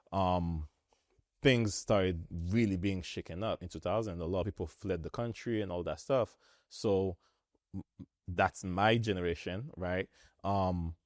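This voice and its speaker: A man in his thirties with a Haitian accent, hosting a relaxed discussion with his guests and laughing easily. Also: low-pitched, dry recording, studio quality. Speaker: host. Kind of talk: conversational discussion.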